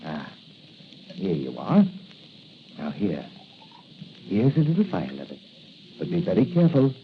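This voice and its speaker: An actor playing a kindly, cautious older doctor and inventor, a loyal helper in a 1940s radio drama. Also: male voice, sits low, wildly swooping pitch, measured pace, full character voice. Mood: helpful, cautious. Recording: muffled, hissy 1940 radio recording.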